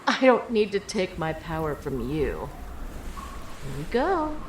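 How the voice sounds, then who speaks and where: Abyssal villainess: echoing, high, slow and gloating, theatrical menace. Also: female voice, big pitch swings, exaggerated voice. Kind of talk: audio drama acting. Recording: echoing, faintly noisy ambience.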